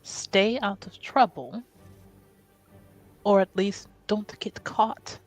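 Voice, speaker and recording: A woman voicing an affectionate, gently conspiratorial woman who confides in a youngster, in a measured, reassuring manner, in a tabletop game. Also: medium pitch, steady tone, faint music behind. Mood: gently instructing.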